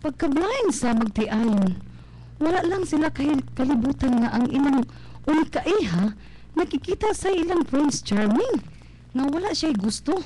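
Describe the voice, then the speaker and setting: A woman's voice: high-pitched, sing-song, a little slow, dark tone, low-quality recording. A woman playing a gossipy, loyal housemaid with a Cebuano accent, chatty and singsong, in a radio drama. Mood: wry, confiding. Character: gossipy, loyal housemaid confidante of young mistress.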